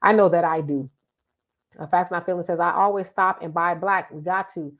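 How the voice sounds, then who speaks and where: A woman's voice: fairly low pitch, steady tone, normal speed, fairly bright tone. A middle-aged woman, sounding congested, hosting a casual live show and reading out viewer comments in an emphatic, chatty way that repeats itself. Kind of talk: casual live-stream talk.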